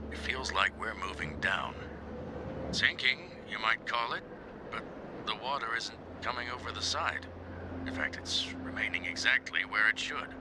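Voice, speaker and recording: An actor playing a weary, wry older boatman in a video game, talking in a dry, sardonic, natural way. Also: on the low side. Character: weary, wry older male boatman stuck on a sinking boat. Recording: heavy noise over the voice.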